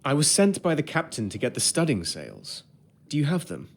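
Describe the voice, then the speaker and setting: An actor playing a polite, earnest young ship's steward in a period video game, speaking in articulate, measured words. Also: male voice, a little high, huge pitch swings, gravelly, slight background noise, full character voice. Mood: polite, upbeat. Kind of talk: video game character acting and first-person narration.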